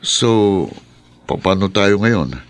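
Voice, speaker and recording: An actor playing a well-off, demanding boyfriend in a Filipino radio drama, with a Filipino accent. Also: male voice, on the low side, lively intonation, extremely slow. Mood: eager, puzzled. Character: well-off, demanding boyfriend, English-sprinkled speech.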